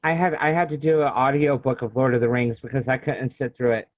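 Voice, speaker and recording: A man's voice: medium pitch, a little fast, noisy background. An American man in his forties from Illinois, speaking casually as an author being interviewed. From Illinois, United States. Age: 40s. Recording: thin phone-like call audio.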